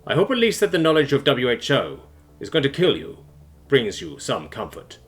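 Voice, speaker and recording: A man's voice: medium pitch, wildly swooping pitch, moderate pace. Audiobook fugitive read by a man: resigned, confiding, formally worded, with varied intonation. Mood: grave resignation. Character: resigned, doomed fugitive recounting his secret story.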